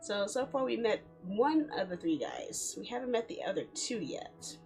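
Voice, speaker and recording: Female game streamer, playful, medium-pitched, casual read-aloud and chat over faint music. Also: lively intonation, moderate pace, a little mellow, low-quality recording. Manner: giggly, playful, chattering to herself.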